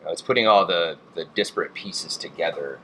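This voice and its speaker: A man with a fairly low pitch and a bright, forceful tone speaks about business in steady, measured terms. His delivery is calm and sure of itself. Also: a little hiss or hum, poor audio. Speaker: managing partner. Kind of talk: casual interview talk.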